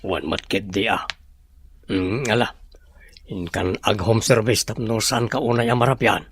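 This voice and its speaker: A man playing a weary, grateful older brother figure in an audio drama, hushed and subdued, with an Ilocano accent. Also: fairly low pitch, measured pace, slightly rough.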